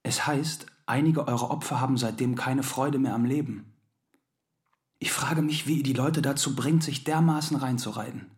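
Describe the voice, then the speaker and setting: A woman playing a slouchy, nosy young flatmate in a radio drama, casual and needling. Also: rumbling low, moderate pace. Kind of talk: German radio drama acting.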